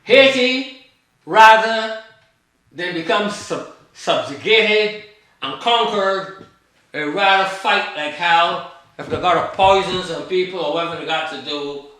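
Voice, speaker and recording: A man's voice: high-pitched, measured pace, sharp tone. Older man from Barbados, very echoey. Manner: emphatic, repeats himself, trails off mid-thought. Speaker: guest, author. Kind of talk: rambling conversational storytelling. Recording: very echoey room.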